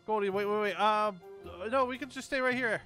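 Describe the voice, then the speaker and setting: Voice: mid-range voice, brisk, pushed and bright, close to an everyday voice. Someone playing a nervous, hesitant best friend in an improvised Minecraft roleplay, stammering through objections. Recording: music behind the voice.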